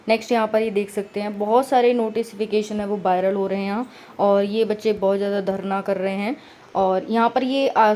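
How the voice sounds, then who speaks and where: Casting: woman, mellow mid-pitched voice, conversational explainer who reads out notices and adds asides. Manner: conversational, frequent checking tags. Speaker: exam-news presenter for job aspirants. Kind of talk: informal explainer reading out notices and commenting.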